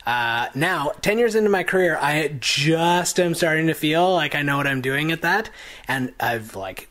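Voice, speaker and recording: A man in his mid-thirties with a bright, forward voice chats casually as an interviewee. He is loose and talkative, correcting himself as he goes. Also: medium pitch. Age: 30s. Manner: chatty, self-correcting. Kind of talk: casual chat.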